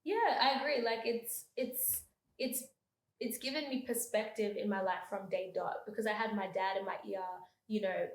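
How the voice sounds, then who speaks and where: A woman's voice: mid-range voice, moderate pace. Chatty young Australian woman, echoey room. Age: 20s. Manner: expressive, chatty, thinks aloud with fillers. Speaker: podcast guest. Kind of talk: casual chat.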